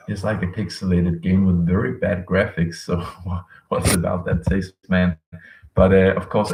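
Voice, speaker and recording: A man in his late twenties, a language coach chatting casually with viewers on a live stream, rambling with many pauses and fillers. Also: low-pitched, gravelly, darkish tone, echoey room, far from the mic, rough recording. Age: 20s. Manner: rambling, thinks aloud with many ums, reads out chat messages.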